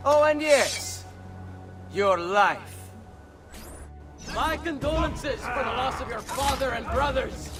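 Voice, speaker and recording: A man's voice: extremely high pitch, slow pace, pauses often, far from the mic, over music. An actor playing a smug, cruel young Italian nobleman, a video game villain with an Italian accent and a sneering, theatrical delivery. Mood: gloating. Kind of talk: video game cutscene acting.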